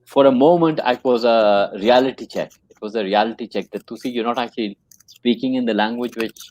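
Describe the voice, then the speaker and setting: A man's voice: fairly low pitch, a little mellow, dry recording, low-quality recording. A man working as a trainer, telling a story in a casual conversation. He speaks earnestly and often repeats his phrases. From Pakistan. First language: English.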